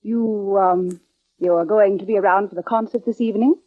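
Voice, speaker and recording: A woman's voice: medium pitch, fairly even intonation, normal speed, close to an everyday voice. An actress playing a polite, guarded British woman with genteel manners in 1940s radio drama style. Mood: guarded, prim. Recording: dull, band-limited old radio recording.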